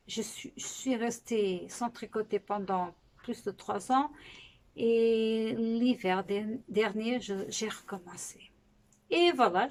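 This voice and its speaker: Portuguese-accented woman hosting a knitting podcast, slow and easygoing, with frequent restarts. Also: fairly high pitch, a little mellow. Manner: unhurried, with frequent pauses and restarts. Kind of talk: casual spoken podcast monologue.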